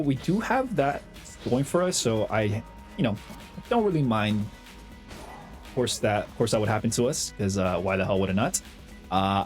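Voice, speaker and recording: A young man with a slight cold, chatting excitedly over his own gameplay as the host of a gaming channel, full of slang and fillers. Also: medium pitch. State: has a cold, slightly hoarse. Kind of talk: casual gameplay commentary.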